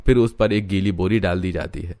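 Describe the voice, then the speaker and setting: A man's voice: sits low, fairly even intonation, a little fast, a little mellow. A man reading scripted narration for a cartoon story in the present tense.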